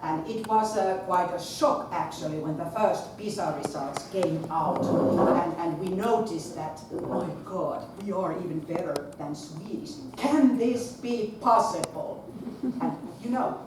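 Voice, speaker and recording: A middle-aged woman with a Finnish accent addresses a lecture hall with no microphone, projecting in a chatty, animated way. Her voice sits a little low for a woman. The recording is distant, with a strong echo and clear background noise. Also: unhurried. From Finland. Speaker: conference speaker, school principal. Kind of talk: presentation to an audience. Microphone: far camera. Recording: echoey lecture hall from far off, noisy.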